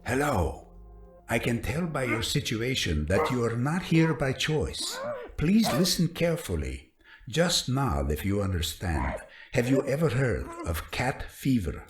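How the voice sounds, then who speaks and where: A man's voice: mid-range voice, very animated, measured pace, faint music behind. A man playing a captive doctor in an audio drama, speaking with grave, earnest urgency and careful deliberation. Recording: echoing hard-walled room sound.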